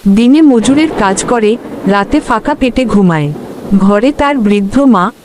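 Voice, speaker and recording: A woman's voice: a little high, lively intonation, unhurried, noisy background. A woman narrator reading a scripted story for a cartoon. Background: background music.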